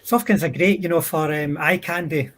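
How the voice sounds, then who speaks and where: Husky man with a higher-pitched voice. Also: normal speed. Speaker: livestream guest and miniature hobbyist. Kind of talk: casual hobby chat.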